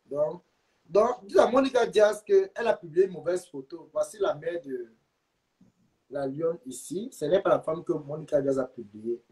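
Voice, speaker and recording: A man's voice: fairly high pitch, moderate pace, a little mellow, low-quality recording. A man hosting a live stream, talking insistently and repeating his points while urging viewers to share. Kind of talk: heated live stream chat.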